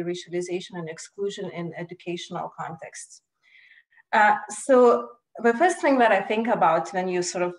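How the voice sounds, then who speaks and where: A woman's voice: medium pitch, poor audio. A woman researcher giving a webinar talk over a video call, hesitant, with frequent fillers and restarts. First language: not Danish. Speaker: postdoc researcher, webinar speaker. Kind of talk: academic talk.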